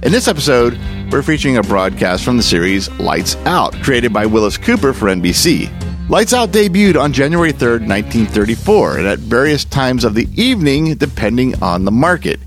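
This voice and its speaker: A male podcast host reads a scripted introduction with lively, varied intonation. His pitch is medium, and faint music plays behind him. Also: a little fast.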